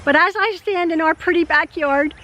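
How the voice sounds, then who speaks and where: An older woman recording a casual vlog in her backyard, speaking with a hoarse, tired voice as she rambles with many fillers and laughs. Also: normal speed, pushed and bright, muffled recording. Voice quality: high voice. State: hoarse, tired after illness. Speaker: channel host. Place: outdoors in a backyard. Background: birdsong.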